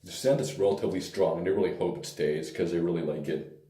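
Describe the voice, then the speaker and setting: Hoarse deep-voiced man reviewing pomade. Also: fast talker. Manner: relaxed, rambling, hesitant fillers. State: hoarse, lost voice. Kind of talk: casual product review. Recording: echoey room, mic at a distance.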